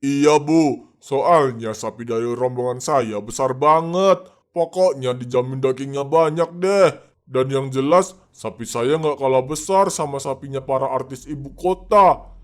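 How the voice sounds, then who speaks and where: A man's voice: medium pitch, unhurried, lightly acted. A man voicing a boastful, arrogant middle-aged village man in a cartoon, bragging and laughing at his own boasts. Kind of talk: cartoon voice acting.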